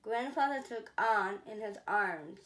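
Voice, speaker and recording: Woman, children's storyteller, very slow pace, deliberate phrasing, medium pitch. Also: expressive, fairly bright tone, reverberant. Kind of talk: children's story read-aloud.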